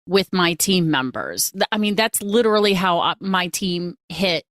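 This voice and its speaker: A woman's voice: lowish voice, lively intonation, normal speed, fairly bright tone, no room sound, clean studio sound. A woman hosting a podcast and coaching, chatting in a casual, emphatic and gushing way, often repeating phrases for effect. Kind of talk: casual, animated interview chat.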